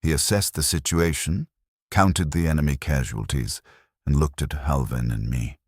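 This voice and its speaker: A man narrating a scripted first-person war story in short, clipped sentences with a grave, measured delivery. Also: rumbling low, darkish tone, husky, no echo, clean studio sound.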